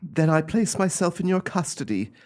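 Radio drama: frail, embittered freed prisoner of noble birth, acted by a man, formal old-fashioned diction, very lively pitch swings. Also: moderate pace, lightly acted. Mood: grave, confessing. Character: frail, bitter lord's son freed from long imprisonment. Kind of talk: radio play acting.

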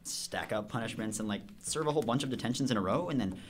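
A man's voice: mid-range voice, lively intonation, fast talker, a little hiss or hum, natural voice. Chatty, cheeky teenage boy, played by an actor. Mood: eager, cheeky. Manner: rambling, breezy, full of "like". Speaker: actor in a radio drama. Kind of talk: radio drama acting, casual teen slang.

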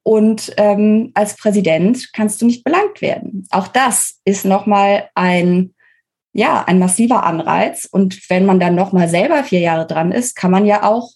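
Lowish female voice bit husky, podcast guest explaining her take on politics, pauses mid thought. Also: a little mellow. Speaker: interview guest, author of a political book. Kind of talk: conversational political analysis in a podcast interview.

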